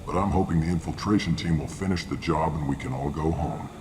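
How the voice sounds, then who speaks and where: Very deep-voiced gruff mercenary. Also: male voice, brisk, darkish tone. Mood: matter-of-fact. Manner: blunt, matter-of-fact. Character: gruff male mercenary briefing hired guns, weary and matter-of-fact. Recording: clearly noisy game ambience behind.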